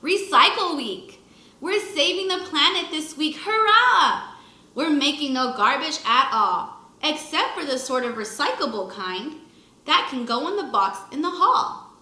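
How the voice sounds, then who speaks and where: A woman's voice: sits high, lively intonation, a little slow, pushed and bright, echoey room. A woman teacher reading a children's book aloud expressively to young pupils, acting out the lines and adding short explanations. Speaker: first grade teacher.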